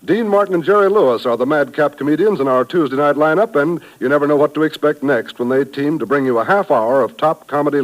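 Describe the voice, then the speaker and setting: A man working as a 1950s network radio announcer, reading promos and credits in a brisk, polished delivery. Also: on the high side, low-quality recording. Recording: vintage broadcast with faint music.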